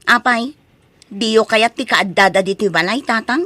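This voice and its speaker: A woman's voice: on the high side, a little slow, bright, forceful tone, no room sound. A woman playing an insistent grown daughter in a comedy audio drama, speaking with an Ilocano accent. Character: insistent grown daughter pleading with her father. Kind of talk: comedy radio drama acting.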